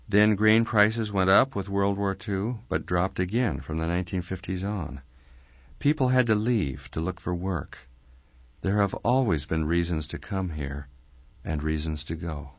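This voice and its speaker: A man narrates a documentary in a low, measured voice. The recording is dry and a little dull. Soft guitar plays behind him. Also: no echo. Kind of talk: scripted narration.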